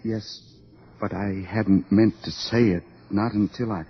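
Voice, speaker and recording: Fervent young idealist, husky, old radio. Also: male voice, lowish voice, steady tone, unhurried. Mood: fervent, lovestruck. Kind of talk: old-time radio drama acting. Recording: dull, lo-fi old radio recording.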